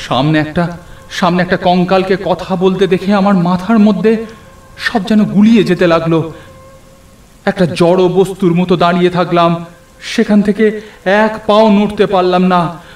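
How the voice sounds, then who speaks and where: A man's voice: high-pitched, fairly even intonation, a little slow, long pauses. A man playing a young nephew who narrates a horror cartoon story in a dramatic way. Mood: terrified. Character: young nephew narrating his night of terror. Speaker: narrator and character. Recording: roomy echo, faint rain and thunder.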